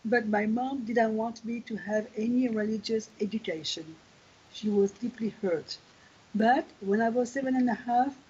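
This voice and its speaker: A woman in her sixties, raised in Algeria and France, answering questions as an interview guest, pausing often to find her words. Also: a little high, a little mellow, slightly rough. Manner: thoughtful, pauses to search for words. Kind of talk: video call interview. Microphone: video call. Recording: lo-fi video call audio.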